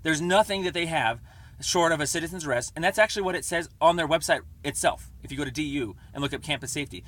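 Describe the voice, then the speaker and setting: A man calling to make a complaint, explaining at length in a rambling, halting, stammering way over the phone. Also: a little high, a bit bright. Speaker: caller making a complaint. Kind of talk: phone conversation. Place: on a phone call.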